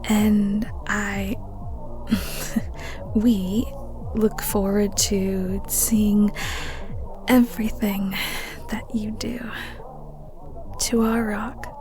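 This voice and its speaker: Halting, slow-talking, bashful young woman acted. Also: mid-range voice, little pitch movement, over music. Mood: earnest, shy. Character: awkward, fond young woman best friend with a hidden crush. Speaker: audio roleplay voice actor. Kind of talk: audio roleplay acting, speech to a friend.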